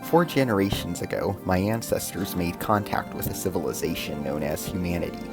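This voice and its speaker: A man's voice: low voice, lively intonation, brisk, over loud music. A man narrating a scripted science fiction drama in the first person as an alien soldier, in a solemn, formal storytelling manner.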